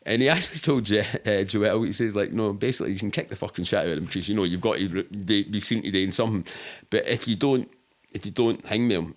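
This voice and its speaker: Low-voiced Scottish man telling rambling stories. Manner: rambling, sweary, matter-of-fact. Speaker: podcast guest. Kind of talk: casual storytelling in an interview.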